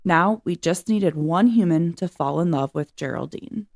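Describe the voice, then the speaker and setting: A woman's voice: lowish voice, measured pace, gravelly, a little mellow. A woman reading a children's story aloud as narrator. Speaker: reader of a children's book.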